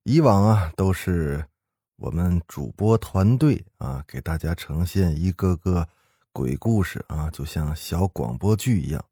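A man's voice: low-pitched, animated, measured pace, mellow, breathy edge, no echo, clean studio sound. A man hosting and narrating ghost stories in a conversational, folksy, suspenseful storytelling style. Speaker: horror podcast host and narrator.

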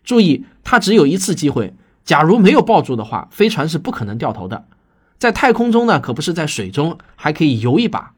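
A man's voice: fairly high pitch, sing-song, brisk, slightly rough. A man hosting a podcast, explaining things to listeners in a conversational, emphatic monologue. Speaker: podcast host and science fiction writer.

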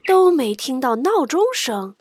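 A woman's voice: high-pitched, very animated, measured pace, a loud crowd. A woman narrating a picture book for children, playful and dramatic, often dropping into a whisper. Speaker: children's storyteller.

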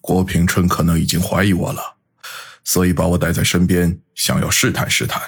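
A man voicing stern, world-weary middle-aged gangsters in an audiobook, with a gruff delivery. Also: low voice, level tone, brisk, voice pushed down, exaggerated voice. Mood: grim, resolute. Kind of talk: audiobook character acting.

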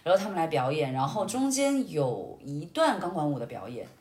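A young woman chatting casually and telling personal stories in an animated way, in short phrases. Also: low voice, brisk. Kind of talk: casual conversational storytelling. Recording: roomy, echoey sound.

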